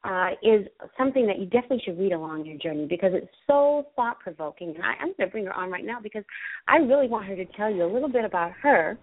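A woman hosts a live talk show with bubbly, effusive enthusiasm. Her voice is a touch hoarse. The sound is thin and phone-like, with no room echo. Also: medium pitch, lively intonation, fast talker. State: slightly hoarse, recovering from a virus. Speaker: host. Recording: tinny phone-line sound.

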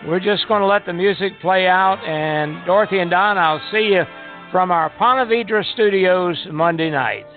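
A man's voice: sits high, moderate pace. A man hosting a casual live radio chat in a hesitant, folksy manner. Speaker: radio show host. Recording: tinny phone-like line, music behind.

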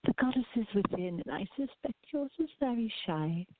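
Woman acting a husky, mystical cat-girl. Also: a little high, lively intonation, normal speed, dry recording. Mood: mystical, coaxing. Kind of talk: farce radio play acting. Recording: muffled, phone-like narrow-band audio.

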